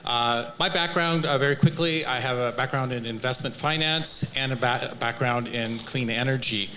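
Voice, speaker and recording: A man who sits on the city council, speaking at a candidate forum with frequent pauses and restarts. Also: medium pitch, bright, forceful tone, a little hiss or hum, poor audio. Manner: hesitant, many uhs and restarts. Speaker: incumbent city council member. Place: senior community center.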